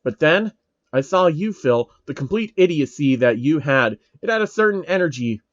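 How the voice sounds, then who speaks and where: A man's voice: on the high side, lively intonation, normal speed, dry recording, highs cut off, lightly acted. A man voicing a grandiose, theatrical male game villain who declaims pompously in a story reading.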